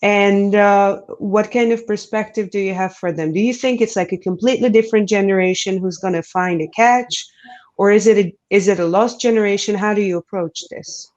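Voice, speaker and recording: Woman moderator, relaxed conversational questions, slightly dull lo-fi audio. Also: medium pitch, fairly quick. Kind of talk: panel discussion.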